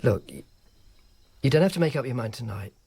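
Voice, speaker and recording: A wry, world-weary informer of ancient Rome in a radio drama, both narrating and speaking the lead. His voice is husky, and his delivery is dry and self-mocking, with a middling pitch. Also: animated, fairly quick, warm tone.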